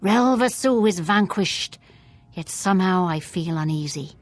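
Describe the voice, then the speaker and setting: Casting: a resolute, grim monster hunter for a video game, low register. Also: female voice, animated, measured pace, soft background music. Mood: grim, wary. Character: grim, determined female warrior hunting a beast. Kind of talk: scripted fantasy video game dialogue.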